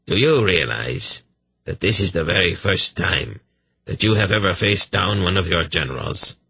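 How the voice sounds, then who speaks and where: A man voices a big, loyal older advisor in a storybook, speaking bluntly and calmly. His voice is deep and husky. The recording is close and dry. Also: normal speed, dry recording, exaggerated voice. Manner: calm, blunt.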